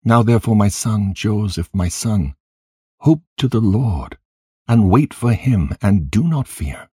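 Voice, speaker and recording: A man's voice: on the low side, expressive, measured pace, mellow, slightly rough, no echo, clean studio sound, pitched above the performer's own voice, exaggerated voice. A man reading scripture dramatically as a dead mother's spirit, grieving, tender and prayerful as she consoles her child. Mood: sorrowful comfort. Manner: weeping, praying, tender.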